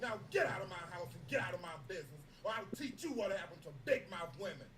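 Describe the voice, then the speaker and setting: A man's voice: high-pitched, expressive, fast, roomy sound, far from the mic, noisy background. A man playing a drunk, abusive husband in a stage drama, menacing and domineering. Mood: threatening. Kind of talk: live dramatic performance.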